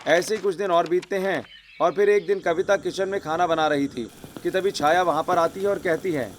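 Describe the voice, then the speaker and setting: A man's voice: high voice, moderate pace, a little hiss or hum. A man narrating a scripted cartoon story.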